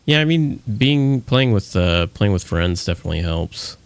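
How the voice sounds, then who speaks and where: A man's voice: fairly low pitch, animated, moderate pace, clear, resonant tone, no echo. A man streaming a survival game, talking casually and rambling with frequent restarts. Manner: rambling, lots of fillers and restarts. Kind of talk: casual gaming chat.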